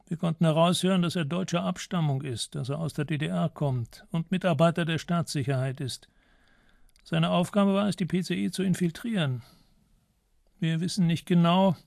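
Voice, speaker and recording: A courtly, gravelly gentleman. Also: on the high side, no echo, clean studio sound. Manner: formal, measured, polite. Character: courtly older Spanish man, Don Felipe. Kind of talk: radio play acting.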